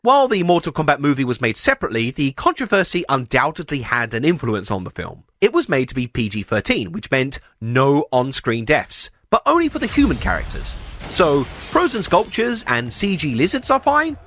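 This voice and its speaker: A man's voice: fairly high pitch, very animated, moderate pace, fairly bright tone, dry recording, soft background music. A man hosting a video essay, reading scripted commentary with wry, sarcastic asides.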